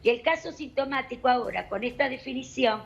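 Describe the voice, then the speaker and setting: A woman doctor with an Argentine accent, explaining calmly and methodically on a television panel. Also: high-pitched, creaky, muffled recording. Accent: Argentine Spanish. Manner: measured, explanatory, addresses host formally.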